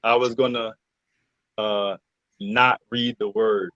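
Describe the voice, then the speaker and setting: A man's voice: lowish voice, level tone, slow pace, fairly bright tone, no room sound, dull audio. A man talking casually as a guest in a group interview. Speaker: interview guest, radio theater cast member. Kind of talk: casual chat.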